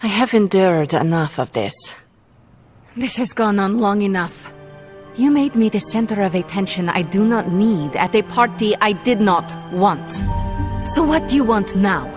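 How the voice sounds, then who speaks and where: A woman's voice: medium pitch, animated, a little slow, faint music behind, close to an everyday voice. A woman playing a proud, brooding young sorceress in a video game cutscene, indignant, clipped and formal. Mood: angry, fed up.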